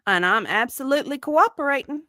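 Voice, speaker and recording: Casting: woman performing indignant female deposition witness, evasive, insistent, lively swooping intonation, close dry sound. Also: high-pitched, brisk, no echo. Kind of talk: dramatic reading of a deposition transcript.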